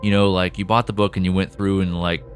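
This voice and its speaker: Podcast co-host, man, lowish voice, chatty and loose, faint music bed. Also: flat delivery. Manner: chatty, laughs often, acts out quick voices for characters. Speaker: podcast co-host and show creator. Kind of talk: casual behind-the-scenes chat.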